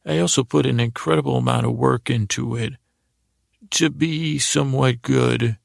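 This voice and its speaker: A middle-aged man with a gravelly, creaky voice hosting a bedtime podcast, meandering and hesitant in a goofy way, often trailing off and restarting. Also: medium pitch, fairly even intonation, moderate pace, no echo, studio quality. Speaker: podcast host. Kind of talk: rambling bedtime sleep podcast monologue.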